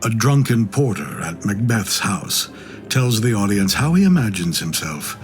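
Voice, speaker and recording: A man narrates from a script like a stage storyteller. His low voice moves with lively, expressive intonation. Music plays clearly behind him. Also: measured pace. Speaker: storyteller introducing scenes.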